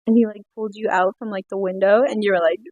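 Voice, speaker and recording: A woman's voice: mid-range voice, steady tone, fast talker, darkish tone, dry recording, dull audio. A woman in her thirties chatting casually with friends, exclamatory and teasing as she tells stories. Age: 30s. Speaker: podcast co-host. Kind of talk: casual chat among friends.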